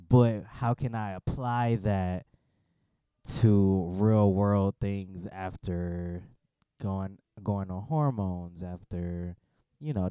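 A man with a low, warm, smooth voice talks loosely and casually, looping through fillers and quick asides. The sound is dry and close-miked. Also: no echo. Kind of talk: casual conversation.

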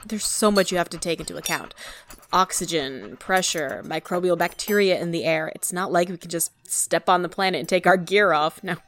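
An actress playing a caring, earnest young daughter who works as a space mission scientist in an audio drama. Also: female voice, lowish voice, normal speed, a bit bright. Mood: earnest, light. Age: young adult.

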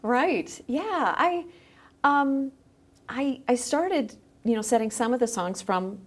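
A woman speaking as a podcast guest, explaining her creative work in casual conversation with halting phrasing. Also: on the high side, animated. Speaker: podcast guest, musician and educator.

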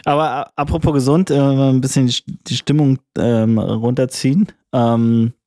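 A man with a cold co-hosts a casual chat, talking in a mellow, relaxed tone. He rambles and restarts his sentences, dropping coarse slang as he goes. The sound is dry and close, with no room around it. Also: medium pitch, normal speed, dry recording. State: has a cold. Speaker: podcast co-host.

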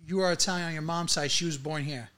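A man's voice: fairly high pitch, fairly even intonation, fast talker, bright, forceful tone. Man co-hosting, asking short questions. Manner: asks short direct questions. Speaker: podcast co-host. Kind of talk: casual podcast interview.

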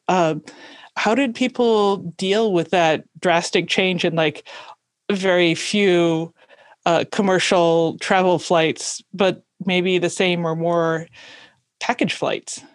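A woman's voice: lowish voice, fairly even intonation, slow, no echo. A woman, hosting a podcast and interviewing in a conversational way.

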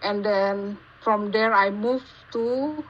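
A woman's voice: mid-range voice, steady tone. Indonesian-accented woman on a dull, lo-fi recording. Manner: halting, searching for words in non-native English. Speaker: podcast guest, geologist. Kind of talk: casual interview answers. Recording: dull lo-fi call with faint noise.

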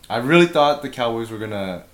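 A man co-hosting a casual podcast chat, his voice raspy from bronchitis, laid-back and quick to laugh. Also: mid-range voice, expressive. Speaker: podcast co-host. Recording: roomy echo.

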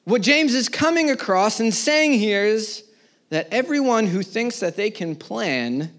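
A man in his thirties, a pastor, preaching a sermon to a live congregation, rising in emphasis and then dropping to quiet words at the ends of phrases. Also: high voice, expressive, measured pace. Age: 30s. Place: church service before a live congregation.

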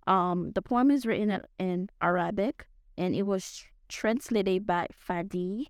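Young female podcast host, French accent, halting and full of fillers, dry close recording. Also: medium pitch, dry recording. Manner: hesitant, many fillers and restarts. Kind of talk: casual podcast chat.

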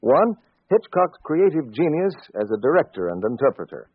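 A man's voice: a little high, very animated, normal speed, slightly rough, dry recording. A man giving a formal, salesmanlike promotional announcement in 1940s radio style. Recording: tinny, dry 1940s radio recording.

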